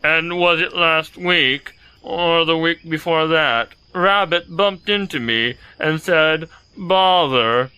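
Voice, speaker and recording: A man playing a gloomy, self-pitying donkey who grumbles pompously in a slow, halting, sardonic way in an audio storybook drama. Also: a little high, pushed and bright, clean tone, no room sound, rough recording. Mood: self-pitying, dismissive.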